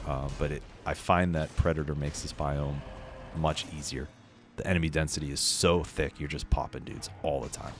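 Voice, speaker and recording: A man with a very low, deep voice chats casually while he plays, slightly scratchy and sniffly from a cold. Quiet game music plays behind him. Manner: laid-back, chatty, lots of fillers. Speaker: game streamer. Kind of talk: casual live-stream commentary. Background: faint game music.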